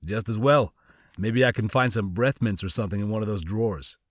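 A man's voice: lowish voice, brisk, no room sound, clean studio sound, voice pushed down, natural voice. A man voicing an easygoing young male squad member in an audiobook. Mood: wry, casual.

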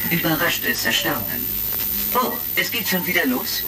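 A man's voice: a little high, lively intonation, measured pace. A man playing a huge, polite, literal-minded combat robot that announces its emotions in a retro sci-fi radio drama. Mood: weary surprise. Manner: announces its emotions aloud, pedantically precise. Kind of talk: retro science-fiction radio drama acting. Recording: crackly vintage record, distant, music behind.

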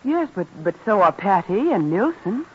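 A woman's voice: medium pitch, very animated, moderate pace, husky. A woman playing a doting, soothing middle-aged mother in an old-time radio drama. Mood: coaxing. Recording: muffled old radio, crowd murmur behind.

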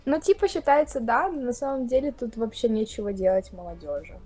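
A young woman from Russia chatting casually on a video call, talking with lively hand gestures. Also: a little high, brisk, faint music behind. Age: young adult. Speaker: guest. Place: indoor room, plain wall.

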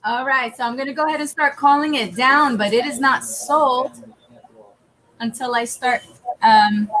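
A woman's voice: high-pitched, normal speed, a bit bright, low-quality recording. A woman hosting a live online auction, giggling between bids as she calls them down. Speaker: auction host.

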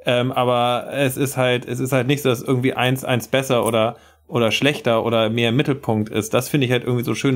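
A man on a podcast panel, chatting casually. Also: medium pitch.

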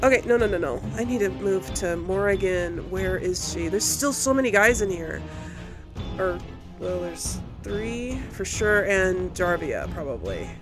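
A woman hosting a casual let's play, thinking aloud and reacting as she plays, with game music loud underneath. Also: mid-range voice, lively intonation, measured pace. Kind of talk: casual live gameplay commentary.

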